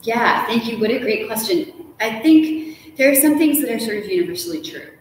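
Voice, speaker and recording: A woman's voice: fairly high pitch, lively intonation, normal speed. A woman being interviewed as an author, talking casually and with animation, scattering "like" through her informal answers. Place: large ornate hotel room, indoors. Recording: echoey room with faint background noise.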